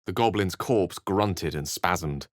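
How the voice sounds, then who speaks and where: A man's voice: sits low, slow, dry recording, studio quality. A man voicing an eloquent, bookish wizard in a fantasy video game, wry and courteous, with articulate, dramatic phrasing. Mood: hushed, grave narration. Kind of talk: theatrical fantasy game dialogue and storybook-like narration.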